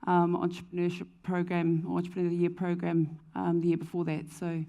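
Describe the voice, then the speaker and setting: A woman's voice: fairly low pitch, monotone, fairly quick, gravelly, mellow. A woman with a New Zealand accent, speaking as a panelist on a conference stage, fluent with frequent "um" fillers. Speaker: panelist, company founder. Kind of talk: panel discussion answer. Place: conference stage before an audience.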